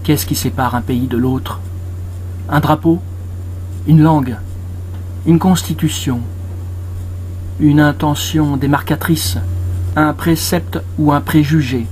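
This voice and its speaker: A man's voice: on the high side, steady tone, takes it slowly, pauses often, a little mellow, slight background noise, poor audio. A man recites poetry solemnly as a film voice-over, declaiming in short, separated phrases.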